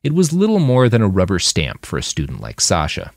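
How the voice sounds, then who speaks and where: Low-voiced man narrating fiction, very animated. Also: brisk, no echo, studio quality. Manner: expressive, acts out quoted dialogue. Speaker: author reading his fiction aloud. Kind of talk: audiobook narration of a novel, scripted.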